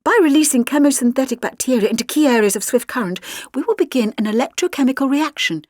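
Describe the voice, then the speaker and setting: An alien-possessed teenage girl, as acted by a woman reading an audiobook, confides her plans of conquest with quiet menace. The voice sits slightly high and is recorded very dry and close. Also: lively intonation, fast talker, no echo. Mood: cold boasting.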